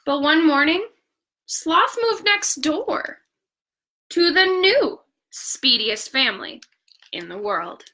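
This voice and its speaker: Bright, unhurried woman reading a bedtime story. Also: high-pitched, very animated. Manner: draws out words for emphasis. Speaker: picture-book reader. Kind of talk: bedtime story read-aloud with asides to viewers.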